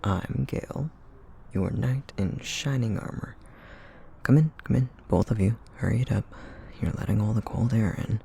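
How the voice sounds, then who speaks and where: A man's voice: fairly low pitch, moderate pace, vocal fry, warm tone, slight background noise. A man voicing a cheeky, pestering male character in an ASMR roleplay, with a breezy, teasing, theatrical delivery.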